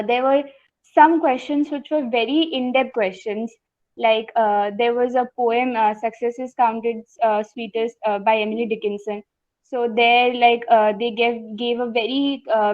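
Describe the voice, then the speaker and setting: A woman's voice: on the high side, dark tone, no echo. A young woman answering interview questions over a call, hesitant with many fillers and polite to the interviewer. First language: not Hindi. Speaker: interview guest, successful exam candidate. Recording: dry tinny phone-like line.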